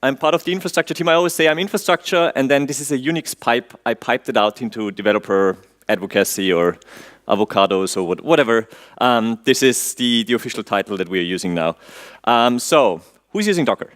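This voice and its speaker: A man with an Austrian accent delivers a technical talk from a conference stage at a middling pitch. He keeps the audience involved with quick questions, makes dry asides and leans on "um" between points. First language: German. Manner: quizzes the audience, frequent "um" fillers, relaxed dry humor. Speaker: conference speaker.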